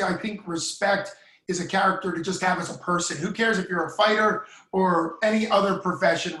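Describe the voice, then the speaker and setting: A man's voice: high-pitched, sharp tone, reverberant, poor audio. A talkative man hosting an interview podcast and asking long, friendly questions. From United States. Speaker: podcast host. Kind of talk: casual interview.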